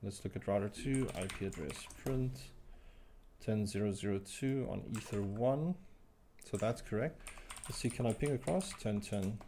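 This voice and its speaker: A man hosting a live tech stream, thinking aloud as he types commands, his voice croaky today. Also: fairly low pitch, normal speed, dark tone, a little hiss or hum. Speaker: livestream host. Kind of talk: casual live tech walkthrough.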